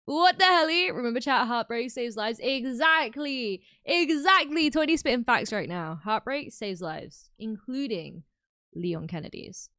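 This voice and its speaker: Animated British woman streamer, dry mic. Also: on the high side, unhurried, dry recording. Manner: animated and exclamatory, reacting to chat. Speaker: livestreamer. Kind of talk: casual live stream chat.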